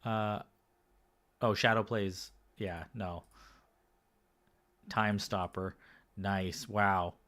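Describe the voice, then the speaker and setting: Hoarse man commentating a card game. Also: on the low side, very slow, long pauses. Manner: relaxed, thinking aloud with many fillers and restarts. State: slightly hoarse. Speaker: game commentator and podcast host. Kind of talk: solo casual match commentary.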